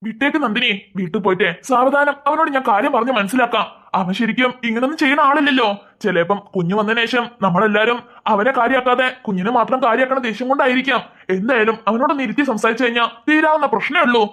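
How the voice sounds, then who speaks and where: A woman voicing a calm, gentle father who reassures his wife. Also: on the high side, quick pace, natural voice. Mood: reassuring. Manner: calming, reassuring.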